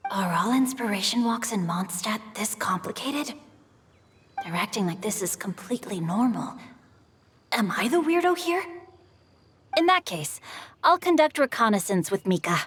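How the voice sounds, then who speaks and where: A woman playing a refined, bookish teenage boy in a video game, polite and measured, with a husky voice. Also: mid-range voice, animated. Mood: baffled.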